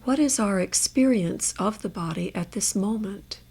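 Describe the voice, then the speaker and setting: A woman guiding a meditation in a measured, gentle way, leaving space between her phrases. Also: medium pitch, vocal fry, slightly rough. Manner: measured, gentle instruction with long pauses. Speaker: meditation guide. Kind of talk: guided body scan meditation.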